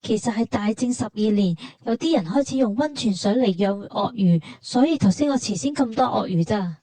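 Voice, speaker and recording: A woman speaks Hong Kong Cantonese in a fairly high, very mellow voice. She narrates a trip conversationally, explaining each thing like a storyteller. The recording is close and very dry. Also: moderate pace, dry recording. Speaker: travel vlog narrator. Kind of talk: conversational scripted travel narration.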